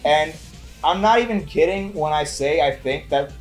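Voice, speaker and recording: A man's voice: fairly high pitch, normal speed, faint music behind, poor audio. A man co-hosting a casual movie review, talking like an enthusiastic fan who swears freely. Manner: enthusiastic, profane fan talk. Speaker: podcast co-host.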